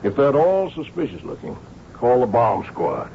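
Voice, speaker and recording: An actor playing a seasoned, shrewd police inspector who tells his case in a measured, wry way in a radio drama. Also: medium pitch, big pitch swings, vocal fry, a little hiss or hum. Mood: watchful, decisive. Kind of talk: radio drama acting with first-person narration. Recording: dull old radio broadcast.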